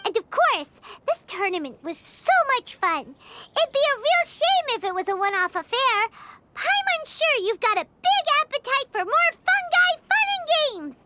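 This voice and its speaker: A woman voicing a small, childlike, cheeky and bubbly fairy companion in an animated video game style. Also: very high-pitched, measured pace, very noisy, heavily acted. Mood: excited announcing. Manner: bubbly, refers to herself in third person.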